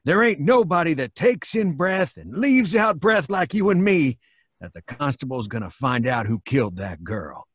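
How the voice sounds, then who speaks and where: An actor playing a simple, stubborn, spooked old country man in an old-time radio drama, folksy and halting. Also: on the high side, big pitch swings, breathy edge. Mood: grave, stubborn. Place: home recording, live remote video call.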